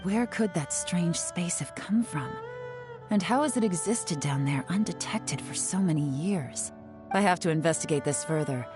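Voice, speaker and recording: A woman playing a cool, self-assured female secret agent in a video game, measured and knowing. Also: fairly low pitch, expressive, over music, lightly acted. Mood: pensive.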